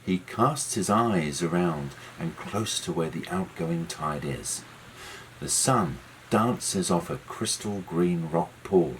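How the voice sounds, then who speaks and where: A storyteller narrating a children's tale in a gentle, measured and expressive way, with music behind the voice. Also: deep voice, slight background noise, low-quality recording.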